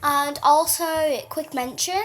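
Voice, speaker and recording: High-voiced female podcast co-host. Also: slow pace, a bit bright. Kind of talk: casual chat with dramatic poetry reading.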